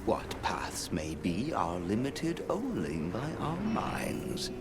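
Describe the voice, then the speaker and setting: A man voicing a calm, cryptic guide creature in a video game, speaking in a formal, measured, riddling way. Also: fairly low pitch, very noisy, heavily acted. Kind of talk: video game cutscene acting.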